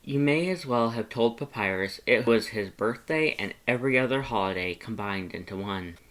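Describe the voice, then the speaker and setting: Casting: story narrator for second-person fan fiction audiobooks, medium pitch. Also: moderate pace, fairly bright tone.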